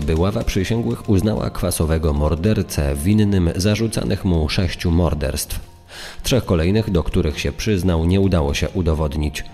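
A man's voice: deep voice, dark tone. A man playing a grave, formal reader of case findings and verdicts in a true crime dramatization. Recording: long reverb over music. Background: music.

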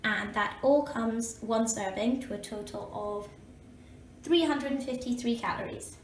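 A woman's voice: fairly high pitch, a little slow. A young woman with a Scottish accent, chatting upbeat to camera as she cooks in a small kitchen. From Scotland. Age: young adult. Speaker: student vlogger. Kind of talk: casual cooking vlog. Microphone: camera mic, handheld and propped up. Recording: echoey kitchen with faint background noise.

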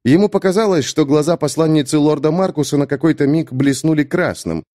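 A man narrating a fantasy audiobook in an expressive, scripted storytelling style. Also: on the high side, brisk.

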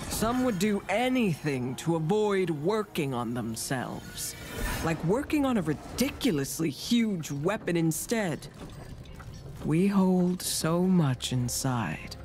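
Brooding wry female game narrator, slow dramatic delivery, deadpan asides, music behind. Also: lowish voice. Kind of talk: theatrical scripted narration.

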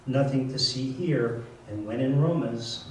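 An older man reading his poems aloud in a measured, wry way, with casual asides between them. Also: mid-range voice, a little mellow, a little hiss or hum. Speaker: poet reading his work. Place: lecture room at a reading series. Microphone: handheld mic on a stand, close. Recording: echoey room, mic at a distance.

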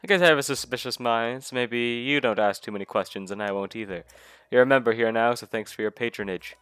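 A man's voice: mid-range voice, fast, a bit bright, clean tone, dry recording, close to an everyday voice. A man reading as a sly, wary shopkeeper woman. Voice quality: nasal. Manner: knowing, guarded.

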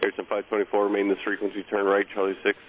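A man's voice: fairly low pitch, monotone, fast, phone-quality sound. A man working as an air traffic controller, giving clipped instructions over aviation radio. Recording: band-limited aviation radio, faint static.

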